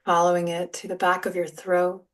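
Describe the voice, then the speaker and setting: A woman leading a guided relaxation as a yoga teacher, speaking in a soothing, gentle, unhurried manner. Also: lowish voice, monotone. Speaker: yoga nidra meditation guide. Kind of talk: guided meditation, soft spoken instruction. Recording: distant mic, music behind. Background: soft music or sound bowls.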